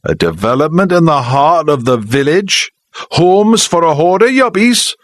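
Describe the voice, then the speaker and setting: A man's voice: a little high, sing-song, a little slow, no echo, clean studio sound, pitched above the performer's own voice. A man reading aloud, voicing a big, sneering village mechanic who mocks and shows off to a crowd. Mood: sneering, taunting. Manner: mocking, loud, taunting.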